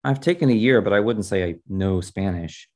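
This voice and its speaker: A man's voice: lowish voice, moderate pace, a little mellow, close to an everyday voice. A man reading a storybook in the part of a curious, eager young female student, inquisitive and informal.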